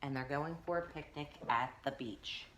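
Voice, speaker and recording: A woman's voice: rumbling low, normal speed, fairly bright tone, slight background noise, rough recording. A woman teacher reading a picture book aloud, stopping to explain things to young children.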